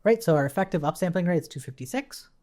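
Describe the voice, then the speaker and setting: A tutorial presenter with a medium-pitched, very animated voice. The delivery is casual and unscripted, working through code aloud step by step. Statements often end on a short checking tag, as if teaching someone alongside. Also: fast. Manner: informal, thinking aloud while working, tags statements with "right?". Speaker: instructor, coding tutorial presenter. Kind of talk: casual live-coding tutorial narration.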